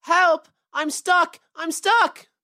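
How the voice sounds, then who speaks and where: A woman voicing a young, naughty, excitable boy rabbit in a children's storybook. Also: fairly high pitch, moderate pace, dry recording, studio quality, close to an everyday voice. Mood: panicked.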